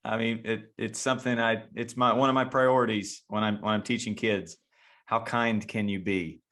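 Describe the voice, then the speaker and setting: A man's voice: on the low side, little pitch movement, moderate pace, a bit bright. A man hosting a casual interview and coaching kids on the side, rambling with many restarts and repeated words. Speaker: podcast host and youth baseball coach.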